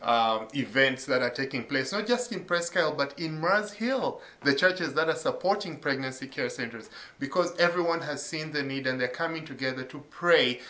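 A man's voice: medium pitch, lively intonation, normal speed, few pauses, echoey room, highs cut off. A man from Uganda in his thirties, an associate pastor telling his story earnestly, with many ums and uhs. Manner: earnest, warm testimony with frequent fillers. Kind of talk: faith interview.

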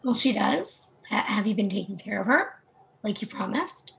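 A woman's voice: medium pitch, animated, moderate pace, creaky, a bit bright, poor audio. A woman in a play reading, playing a stern, protective and reproachful sister. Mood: doubting. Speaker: actor in a staged play reading. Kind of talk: readers theatre acting.

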